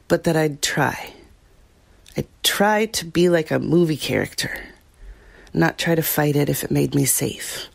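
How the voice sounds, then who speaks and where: A woman's voice: deep voice, creaky, a little mellow, dry recording, full character voice. An actress playing a troubled woman recounting a frightening ordeal in an audio drama, reflective and hesitant.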